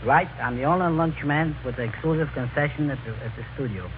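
A man's voice: fairly high pitch, fast. A man as a guest on an old radio show, giving plain-spoken scripted answers in an interview. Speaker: radio show guest, lunch wagon operator. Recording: tinny, noisy vintage radio broadcast.

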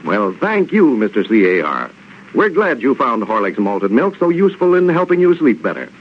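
A man's voice: medium pitch, big pitch swings, normal speed. A man with an American accent announcing a 1930s radio show, reading sponsor copy and scripted narration. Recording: muffled 1930s radio with faint noise.

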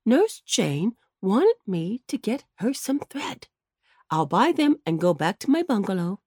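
A kindly old rabbit gentleman from a storybook, put on by a woman reader, speaking gently and slowly. His voice rises and falls with lively swings. The sound is close and dry. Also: mid-range voice, slightly rough, no echo. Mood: polite.

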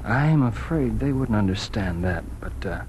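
A man's voice: lowish voice, expressive, a little slow, darkish tone. A man acting an earnest small-town newspaper editor in a 1940s radio drama. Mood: shy, tender. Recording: noisy 1940s radio broadcast recording.

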